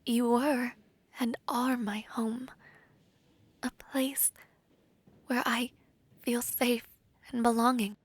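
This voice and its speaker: A woman playing a tender, devoted princess bride in a romantic fantasy audio roleplay, speaking in a soft, breathy, heartfelt way. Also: a little high, flat delivery, slow pace, pauses often.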